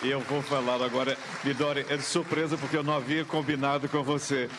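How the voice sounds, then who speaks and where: A man's voice: mid-range voice, level tone, quick pace, crowd noise. A man with a Brazilian accent works as a live interviewer, speaking in an effusive, ceremonious way. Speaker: interviewer, presenter. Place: large reverberant hall. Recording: echoey hall with background noise.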